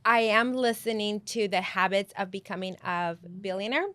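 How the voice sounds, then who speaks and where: A woman from Mexico whose first language is Spanish, a guest coach talking lively and encouraging, laughing easily. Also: mid-range voice, moderate pace, smooth, no echo. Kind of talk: casual podcast interview.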